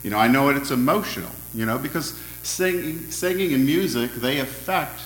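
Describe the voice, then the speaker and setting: A man preaching an interactive sermon, asking his congregation questions in a loud, friendly voice. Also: mid-range voice, expressive, brisk, reverberant, slight background noise, low-quality recording. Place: church hall.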